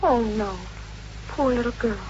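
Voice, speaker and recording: An actress in a 1950s radio drama playing a distraught, bitter wife who pleads and broods, repeating herself. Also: female voice, on the high side, a little slow, a little mellow, close to an everyday voice. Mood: sorrowful, distressed. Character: distraught, bitter wife guarding her beloved dog. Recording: noisy, dull vintage radio recording.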